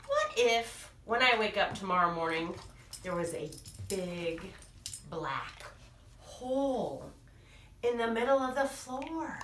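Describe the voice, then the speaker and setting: A woman's voice: mid-range voice, expressive, slow, a bit bright, far from the mic, a little hiss or hum. A woman voicing a small, worried monster child who piles up anxious what-if questions in a storybook reading. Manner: eager, tumbling run-on questions. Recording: echoey room, voice off-mic.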